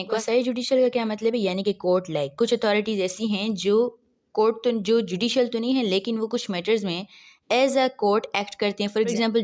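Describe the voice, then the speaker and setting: A woman giving a law lecture, asking herself questions and then answering them, and reading English legal text out slowly. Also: medium pitch, a little mellow. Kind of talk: recorded lecture.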